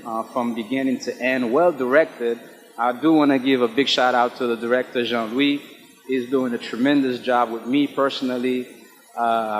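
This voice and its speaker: Male actor from Haiti, Creole native, medium pitch, sincere halting interview delivery, reverberant space. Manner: halting, earnest. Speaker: stage actor, interview guest. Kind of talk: earnest interview talk.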